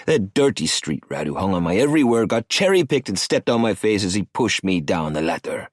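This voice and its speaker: A sneering, arrogant cartel boss spits taunts in a husky, swinging voice, as a male narrator puts him on. The delivery is close and dry, with no room sound. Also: lowish voice, very animated, a little fast, no room sound.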